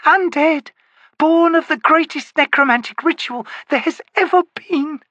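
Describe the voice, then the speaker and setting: An unsettling corporal speaks in a very high, reedy, thin voice that is half whispered and hungrily eager. The sound is dry and close in this storybook reading. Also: male voice, a little slow, sharp tone, no room sound, lo-fi sound, full character voice. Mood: eager eerie.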